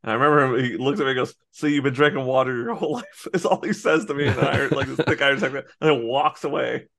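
A man's voice: medium pitch, fast, creaky, fairly bright tone. An American man co-hosting a podcast, rambling through stories with restarts and frequent laughter. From United States. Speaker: podcast co-host. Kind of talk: casual chat.

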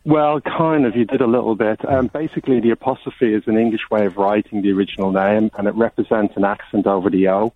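An Irish man calls in over a tinny phone line and speaks in a fast, eager rush. His voice sits low, and the line sounds dry and close. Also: fairly even intonation. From Ireland. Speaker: caller. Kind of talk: phone-in call.

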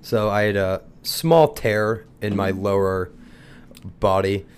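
Congested young man, low laid-back voice. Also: slow, smooth. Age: young adult. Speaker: podcast guest. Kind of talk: casual chat among roommates.

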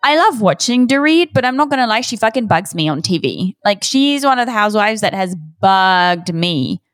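A woman in her thirties hosts a casual podcast chat in a mixed accent that sounds British to some ears. She swears freely and fires off eager, nosy questions. Her voice sits at a middling pitch, recorded dry and close with no room sound. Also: big pitch swings, normal speed, fairly bright tone, no room sound. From outside the US. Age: 30s. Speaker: podcast host.

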